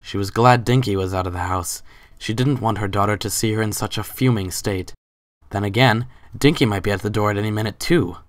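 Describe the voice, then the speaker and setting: A man delivers dramatic, scripted story narration. His voice sits low, and the sound is dry with no room around it. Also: a little fast, no echo.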